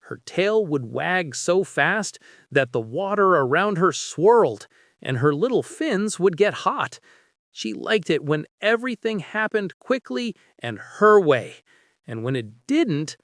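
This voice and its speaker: A man narrating a bedtime story in a gentle, soft, unhurried way. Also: fairly high pitch, no room sound, studio quality.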